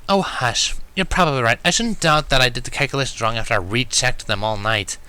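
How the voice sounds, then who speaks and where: A man's voice: medium pitch, big pitch swings, brisk, bright, forceful tone, clean tone. A man voicing a studious young female cartoon pony who explains things earnestly in a fan fiction reading. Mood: conceding.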